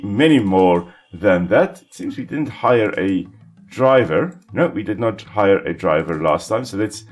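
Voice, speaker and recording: A man, an Afrikaans speaker, calmly explaining ecology while playing a simulation game, with small restarts. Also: fairly low pitch. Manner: explanatory, calm, with small self-corrections. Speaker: ecologist gaming host. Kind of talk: educational let's-play commentary.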